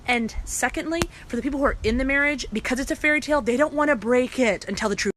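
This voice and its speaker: Woman, slightly high pitch, casual advice vlog, conversational, emphatic, noticeably noisy recording. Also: quick pace, a bit bright. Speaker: relationship advice vlogger. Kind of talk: casual advice talk to camera.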